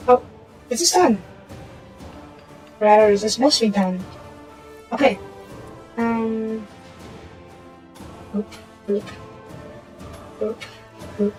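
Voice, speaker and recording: A woman with a slightly hoarse voice, casually talking through a strategy game and muttering to herself as she plays. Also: medium pitch, low-quality recording. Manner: muttering to herself while playing, trailing off into quiet asides. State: hoarse from a sore throat. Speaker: gaming channel host. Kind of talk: casual gameplay commentary.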